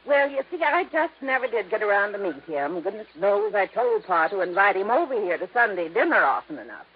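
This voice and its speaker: An actress playing a talkative, opinionated middle-aged farm widow who chatters insistently, in 1950s radio drama style. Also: female voice, medium pitch, big pitch swings, a little fast, close to an everyday voice. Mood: defensive. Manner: chattering, insistent, cuts others off. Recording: muffled old radio recording, faint noise.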